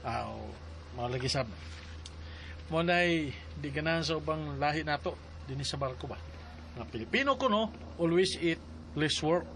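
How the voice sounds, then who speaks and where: A man's voice: a little high, lively intonation, moderate pace, pauses often, fairly bright tone, slight background noise, low-quality recording. Teasing shipmate, man. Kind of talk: radio drama dialogue.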